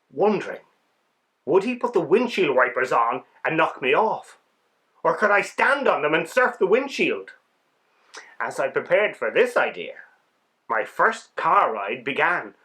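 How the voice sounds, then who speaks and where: A man voicing a small, excitable winged insect telling its adventure in a children's storybook, wide-eyed and dramatic. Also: a little high. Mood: curious, awkward. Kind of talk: children's storybook read-aloud.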